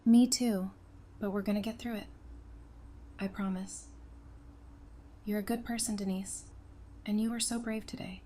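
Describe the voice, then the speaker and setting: A woman's voice: medium pitch, steady tone, measured pace, pauses often, dark tone, close to an everyday voice. A woman playing an earnest, blunt but consoling survivor of a zombie apocalypse in an audio drama. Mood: gentle, reassuring. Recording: clear background noise.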